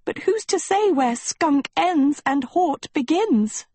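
A woman's voice: sits high, lively intonation, takes it slowly, mellow, no echo, voice pushed up. A woman voicing a vain, dramatic teenage girl in a storybook, complaining theatrically and full of huffy self-pity. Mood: wounded, dramatic.

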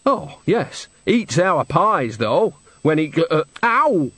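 A man's voice: fairly high pitch, big pitch swings, slow, a bit bright, slightly rough, no echo, voice pushed up, full character voice. A man voicing a blunt, cheeky old witch woman who splutters and mutters, in an audiobook. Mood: flustered.

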